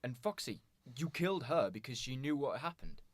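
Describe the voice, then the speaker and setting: A man's voice: mid-range voice, animated, moderate pace, no room sound, natural voice. A man playing an indignant police inspector in a radio drama, intense and accusing. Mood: shocked accusation. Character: indignant male police inspector confronting a killer.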